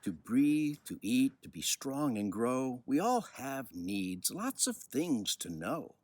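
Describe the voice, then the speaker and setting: An older man with a husky voice, speaking expressively and without hurry like a children's author telling a story. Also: medium pitch, very animated, slow pace. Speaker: children's book author reading aloud. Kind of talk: personal commentary and storybook reading.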